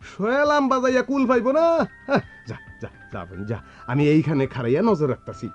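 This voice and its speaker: Animated, eccentric village brother. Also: male voice, high voice, fairly quick. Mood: wary, urging. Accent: rural Bangladeshi Bengali dialect. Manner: emphatic, pleading, repetitive. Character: eccentric, ragged, devoted older brother, mocked as a madman. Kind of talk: radio drama acting. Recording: dull lo-fi radio recording with music.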